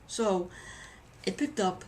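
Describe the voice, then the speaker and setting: A woman's voice: mid-range voice, lively intonation, slow, a little mellow, a little hiss or hum. A woman giving a casual, chatty hobby review with anecdotes, often repeating phrases for emphasis.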